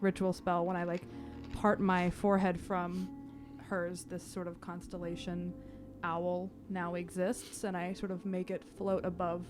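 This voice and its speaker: A woman playing a tabletop roleplaying game, describing her character's actions in a halting, detailed way. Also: lowish voice, level tone. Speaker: player. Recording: prominent music behind the voice.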